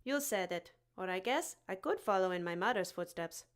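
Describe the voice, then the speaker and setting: A woman playing a cheeky, matter-of-fact schoolboy with a deadpan manner in a comic audio drama. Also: medium pitch, normal speed, lightly acted. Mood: deadpan, chatty. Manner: deadpan, nonchalant.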